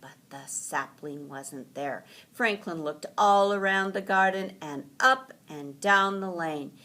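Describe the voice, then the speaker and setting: A woman reading a children's story aloud in an animated, deliberate storytelling manner. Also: on the low side.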